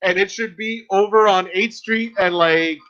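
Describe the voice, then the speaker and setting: A man co-hosting a podcast in casual group chat, speaking haltingly as he thinks aloud. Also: high voice, sharp tone. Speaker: podcast co-host. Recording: dull, lo-fi voice chat audio.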